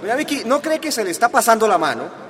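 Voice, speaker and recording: High-voiced, pompous council chairman. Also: very animated, fast talker, a bit bright, full character voice. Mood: irritated, scolding. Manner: condescending, scolding. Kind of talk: radio drama acting. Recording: long hall reverb.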